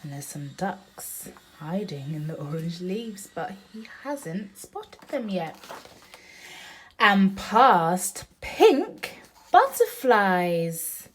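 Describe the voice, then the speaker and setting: Female, motherly bedtime storyteller: very unhurried, animated intonation, child-directed questions and picture asides. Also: fairly low pitch, slight background noise. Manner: sing-song, slow emphatic reading of the story. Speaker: mother reading aloud to her young child. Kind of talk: bedtime picture-book read-aloud with chatty asides.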